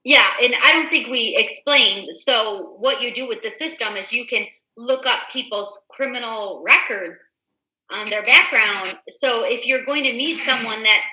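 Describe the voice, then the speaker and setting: Female host, high and echoey, casual newsletter read, emphatic and direct to listeners. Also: unhurried, sharp tone. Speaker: show host. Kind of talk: casual co-hosted talk, reading a newsletter aloud. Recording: roomy, echoey indoor sound.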